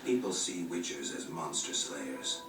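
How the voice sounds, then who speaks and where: An actor playing a gruff, stoic monster-hunting swordsman in a video game, terse and deadpan, with a gravelly voice. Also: rumbling low, unhurried. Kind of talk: video game cutscene acting. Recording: roomy game playback, distant, loud music behind.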